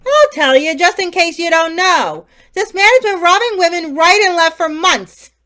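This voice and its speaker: An actress playing a confident police inspector in a radio play, brisk and matter-of-fact. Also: female voice, very high voice, expressive, pushed and bright, full character voice. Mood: commanding. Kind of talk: community theater radio play.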